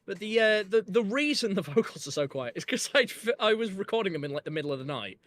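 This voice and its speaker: Young Yorkshire man, high voice. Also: expressive, fast talker, a bit bright. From Northern England. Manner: rambling, hesitant, lots of fillers and restarts, swears casually. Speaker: musician guest discussing his album. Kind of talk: casual chat.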